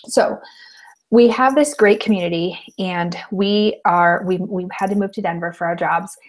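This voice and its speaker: A woman's voice: fairly low pitch, moderate pace, low-quality recording. A woman in her thirties from Colorado, co-hosting a podcast in casual chat and laughing often, recorded through an earbud headset on a video call from a small room at home. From Colorado, United States. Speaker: podcast co-host.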